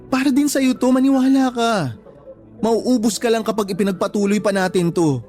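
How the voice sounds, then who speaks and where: A man's voice: very high voice, steady tone, normal speed, darkish tone, soft background music. A man acting a troubled, self-doubting young boyfriend in a Tagalog radio drama, speaking in an earnest, apologetic, emotional way. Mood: guilty, pleading.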